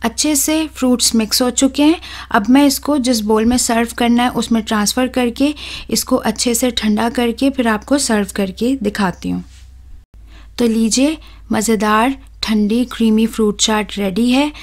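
A young woman hosts a cooking video and walks the viewer through each step. Her voice sits a little on the high side. She speaks steadily and clearly, close to the mic in a voice-over. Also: normal speed. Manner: steady, instructive, addresses viewers directly. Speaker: cooking channel host. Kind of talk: voice-over recipe tutorial.

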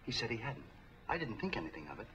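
An actor playing an eager, friendly street peddler in a 1940s film, chatty, slangy and obliging. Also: male voice, medium pitch, big pitch swings, quick pace, muffled recording. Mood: urgent, confiding. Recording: faintly noisy 1940s film soundtrack.

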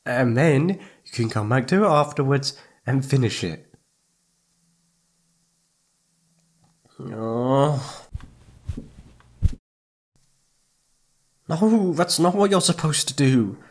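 A man acts out a clingy, loving young boyfriend in an ASMR audio roleplay. His medium-pitched voice is soft and pleading, stretching words out and hesitating as he seeks attention. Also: lively intonation, a little slow. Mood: coaxing. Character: needy, affectionate young boyfriend wanting attention.

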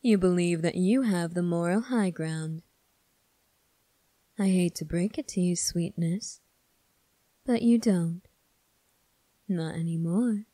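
A woman playing a smug, scornful male demon prince in an ASMR roleplay, speaking in a condescending, deliberate way. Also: on the low side, dark tone, no room sound.

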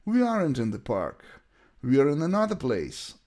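A man with a Ukrainian accent, a native Ukrainian speaker, teaching English by deliberately reading out short example sentences and repeating them. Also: a little high, huge pitch swings, darkish tone. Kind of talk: bilingual language lesson, reading example sentences and translations.

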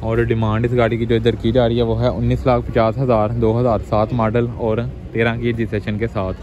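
A man's voice: medium pitch, monotone, a little fast, a little mellow. A Pakistani man presenting used cars in walk-around commentary, with English words mixed into repetitive, salesman-like patter, outdoors at a car market. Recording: dull sound, clear outdoor traffic noise.

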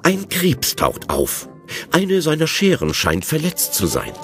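A man's voice: a little high, wildly swooping pitch, a little slow, a bit bright, faint music behind, full character voice. A man narrating a children's undersea adventure audio drama from a script.

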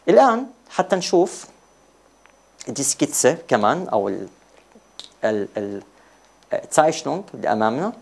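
A man from Jordan with a Jordanian Arabic accent, a native Arabic speaker teaching a bilingual beginner language lesson and carefully repeating phrases for learners. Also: on the high side.

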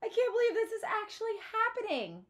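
A woman voicing an excitable, hot-tempered young girl in a storybook read-aloud, squealing and emphatic. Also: extremely high pitch, brisk, pitched above the performer's own voice, heavily acted. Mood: thrilled, excited.

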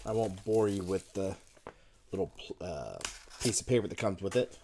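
A man in his thirties at home, casually reading out card names as he opens packs, with sudden bursts of excitement. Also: low-pitched, normal speed, a little hiss or hum. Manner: lists card names in a running murmur, brief excited outbursts. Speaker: card-opening video host. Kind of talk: casual unboxing commentary. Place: home room with a desk. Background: crinkling card wrappers.

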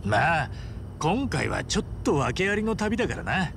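A man voicing an easygoing but fearless Japanese tough traveller in a video game cutscene, with casual, rough street speech. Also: on the high side, big pitch swings, moderate pace, fairly bright tone, slight background noise, full character voice. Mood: friendly, curious.

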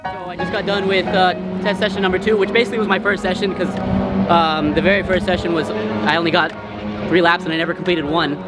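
Young male driver, quick and eager, fairly high voice, recorded outdoors with loud music over it. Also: poor audio. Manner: fast, excited, thinking aloud. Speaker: racing driver. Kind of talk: casual vlog and debrief. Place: outdoors at a racetrack pit lane. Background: background music.

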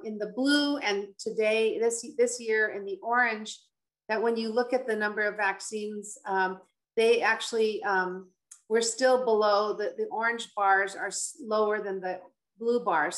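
A woman's voice: medium pitch, a bit bright. A middle-aged woman physician giving an informative slide presentation over a video call, explaining things in a conversational way. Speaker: pediatric infectious disease physician, panelist. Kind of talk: informative slide presentation at an online town hall.

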